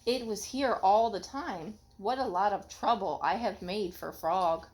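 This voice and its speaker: A woman's voice: medium pitch, measured pace, darkish tone, natural voice. A woman voicing a fussy, self-conscious male toad from a children's storybook, plaintive and emphatic. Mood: regretful.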